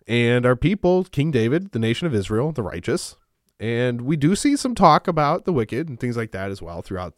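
A pastor in his thirties hosts his Bible podcast with a smooth, lowish voice. He talks in a loose, rambling way, full of fillers and restarts. The sound is close and completely dry. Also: no echo. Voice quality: smooth, low. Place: small home studio.

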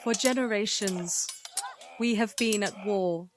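A woman's voice: mid-range voice, measured pace, noisy background, natural voice. A woman acting as a dutiful historian of a besieged nation, giving a grave, formal, eloquent account in an audio drama. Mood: solemn, foreboding.

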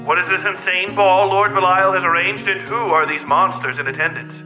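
A man's voice: high voice, fairly even intonation, a little fast, sharp tone, poor audio. A man reading a scripted story recap and teaser in a theatrical, suspenseful way. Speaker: podcast narrator giving recap and teaser. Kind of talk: dramatic scripted narration. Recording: music under the voice. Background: music.

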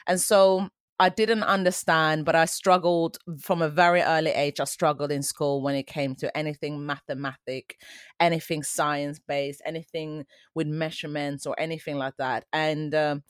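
A woman's voice: low-pitched, little pitch movement, normal speed, smooth, dry recording, clean studio sound. A woman in her forties who grew up in Sweden, a dance teacher answering interview questions in an earnest, reflective way.

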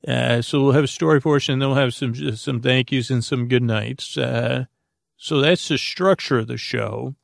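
A man hosting a sleep podcast, rambling in a halting, meandering, goofy way with many tangents and false starts. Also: medium pitch, gravelly, no room sound, clean studio sound. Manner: goofy, whimsical. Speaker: podcast host.

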